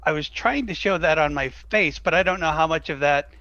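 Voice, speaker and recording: A man's voice: on the high side, a little fast, sharp tone. A man guest talking casually on an online call with slightly dull audio. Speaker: guest fan performer. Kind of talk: casual chat.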